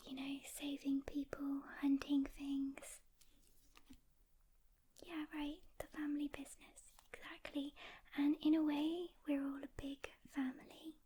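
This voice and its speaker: A woman whispering a soft-spoken ASMR roleplay as a calm, caring demon hunter, gently reassuring a rookie with pauses, close to the mic. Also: sits high, noisy background.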